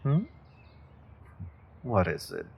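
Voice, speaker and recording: A man playing a smug, mock-kind evil sorcerer in a fantasy audio roleplay, condescending and quietly threatening to his captive, with a deep, husky, gravelly voice. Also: wildly swooping pitch, drawn-out words, exaggerated voice. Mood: smug politeness.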